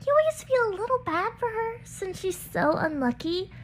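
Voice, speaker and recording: A woman's voice: very high voice, sing-song, moderate pace, slight background noise, poor audio. A woman voicing a feisty, defensive teenage schoolgirl in an anime visual novel fan dub, huffy, flustered and bossy. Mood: excited, giggly.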